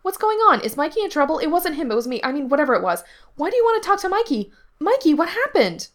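A woman's voice: sits high, big pitch swings, voice pushed up. A woman voicing a self-centred, dramatic young boy in a story, demanding and emphatic. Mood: indignant, alarmed. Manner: demanding, rapid questioning, emphatic.

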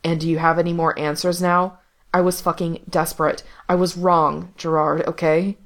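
A woman voicing a guarded young man who speaks flatly and bitterly to his brother. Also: on the low side, normal speed, natural voice. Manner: flat, bitter.

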